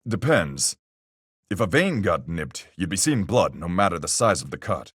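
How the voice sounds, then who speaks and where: An actor playing a calm seafaring man in a video game, reasoning things through with folksy sailor talk in a husky, gravelly voice. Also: low voice, no room sound, studio quality, full character voice. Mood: measured, reasoning. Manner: folksy nautical speech, measured and reasoning. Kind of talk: video game voice acting, deliberate argument.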